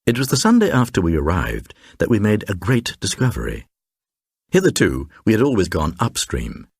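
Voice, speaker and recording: A very deep male voice, playing a grave traveller recounting an eerie radio tale. The delivery is deliberate and suspenseful, swinging widely in pitch, with a distinctly husky grain. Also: huge pitch swings, no room sound, studio quality, exaggerated voice. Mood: measured, reflective. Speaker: narrator. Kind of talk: dramatised first-person reading of a supernatural horror tale for radio.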